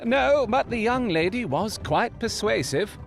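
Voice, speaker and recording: A proud, brilliant Victorian gentleman detective in an audio drama. His speech is formal and eloquent, with theatrical, emotional swings in pitch. Faint music sits behind the voice. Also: expressive, a little slow, vocal fry, heavily acted. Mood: brisk.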